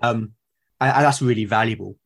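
A man from Britain with a husky voice of middling pitch speaks as a podcast guest. He is reflective and tentative, casually working out his thoughts and doubling back on words. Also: fairly quick.

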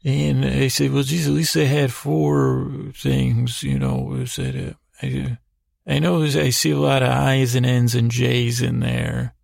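Very creaky, rambling male podcaster. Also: medium pitch, level tone, darkish tone, dry recording, clean studio sound. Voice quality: creaky, gravelly. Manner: meandering, halting, often trailing off and doubling back; self-deprecating, absurd humour. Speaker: podcast host. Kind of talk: rambling bedtime monologue.

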